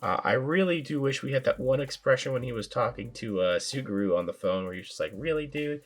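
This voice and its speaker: A man hosting a casual toy review, his voice raspy from a sinus infection, talking in a relaxed, rambling way with filler words. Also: mid-range voice, brisk, soft background music. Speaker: toy reviewer, channel host.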